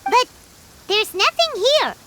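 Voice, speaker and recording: A woman voicing a tiny, childlike, excitable and easily frightened fairy companion in a video game, with a cutesy, squeaky delivery. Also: slow pace, heavily acted. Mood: curious, chatty. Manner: cutesy, squeaky, refers to self in third person. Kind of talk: video game character voice acting.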